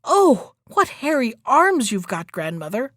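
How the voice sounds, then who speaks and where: A woman's voice: a little high, very animated, unhurried, dry recording, studio quality, heavily acted. A woman playing an innocent, trusting, cheerful little girl in a storybook tale. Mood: astonished. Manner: bright-eyed, naive, exclamatory.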